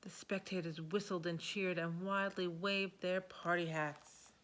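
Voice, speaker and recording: A woman reading a picture book aloud to young children in an expressive storytelling manner. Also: lowish voice, a little slow.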